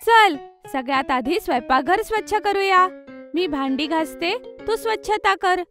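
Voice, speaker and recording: A woman voicing a helpful, cautious young girl in an expressive, childlike way for a children's cartoon. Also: very high-pitched, measured pace, faint music behind, heavily acted. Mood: bored, eager.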